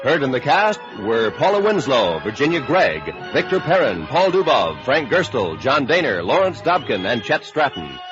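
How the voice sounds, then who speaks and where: An American man reads scripted announcements in 1950s radio style. His pitch moves around in an animated way, with music playing clearly underneath. The old broadcast sounds dull and lo-fi. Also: normal speed, hardly any pauses. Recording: muffled vintage radio broadcast recording.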